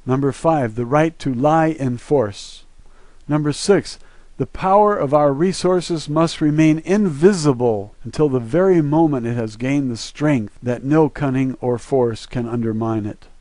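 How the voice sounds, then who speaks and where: A man's voice: mid-range voice, a little slow, darkish tone, no room sound. An American man delivering an earnest, preachy solo monologue to his listeners, stressing his points like someone urging people to act. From United States. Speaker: video creator and presenter. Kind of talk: solo monologue, part reading a list, part commentary.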